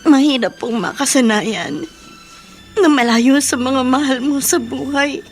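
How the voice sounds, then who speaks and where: High-voiced, tearful Filipino woman. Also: unhurried, soft background music. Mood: tearful, homesick. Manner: plaintive, respectful. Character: tearful, homesick woman longing for loved ones. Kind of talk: Filipino radio drama acting.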